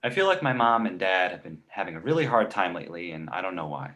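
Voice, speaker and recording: Man playing a wisecracking baby boy, very animated. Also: deep voice, fast. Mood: cheeky, pleading. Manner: smart-alecky comic delivery. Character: precocious, wisecracking, manipulative two-month-old baby boy. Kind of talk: animated comedy table read.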